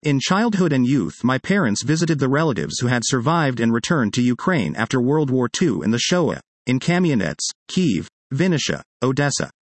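A man reading a published article aloud in an earnest, measured way, now and then correcting his own pronunciation of place names. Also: medium pitch, sing-song, no room sound. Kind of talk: read-aloud article narration.

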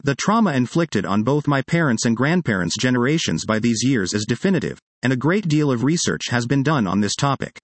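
A man's voice: medium pitch, sing-song, fairly quick, hardly any pauses, no echo. A man reading a written article aloud as scripted narration.

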